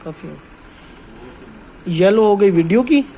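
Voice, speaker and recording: A man's voice: high voice, expressive, slow pace, dark tone, slight background noise. A man giving an informal hands-on technical lesson as a trainer, explaining step by step and often checking that listeners follow.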